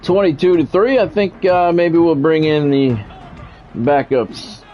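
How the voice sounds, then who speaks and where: A man calling live play-by-play of a football video game in measured, short clipped announcer phrases. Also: on the high side. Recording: dull lo-fi audio with music behind.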